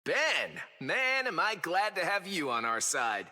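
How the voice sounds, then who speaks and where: A young hotshot superhero in a video game. His voice is high for a man and bright, bouncing around as he jokes breezily and cockily. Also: wildly swooping pitch, unhurried, full character voice. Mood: upbeat teasing. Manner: breezy, joking. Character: cocky young hotshot male fire superhero, brotherly teasing. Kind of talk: video game hero banter.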